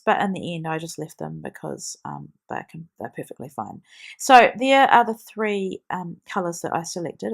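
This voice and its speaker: A woman's voice: on the low side, creaky. A woman with a stuffy nose from a cold, chatting through a scrapbooking tutorial with frequent ums. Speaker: scrapbooking demonstrator. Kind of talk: voice-over craft tutorial.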